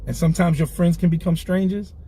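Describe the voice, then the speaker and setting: A man's voice: a little high, steady tone, a little fast, warm tone, a little hiss or hum. Streetwise man in his forties. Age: 40s. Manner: streetwise, earnest, repeats himself. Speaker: clothing brand founder, guest. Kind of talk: candid interview. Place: inside a car.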